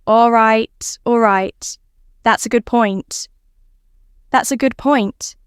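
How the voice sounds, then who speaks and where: Woman doing a sarcastic furry space critter guy in a story, brash, clear voice, dry recording. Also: fairly high pitch, takes it slowly, no room sound. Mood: snarky. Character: snarky, brash male talking furry space creature.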